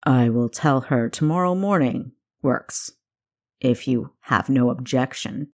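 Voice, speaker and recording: Woman as an aloof, low-voiced dungeon keeper man. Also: slow pace, heavily acted. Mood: disdainful.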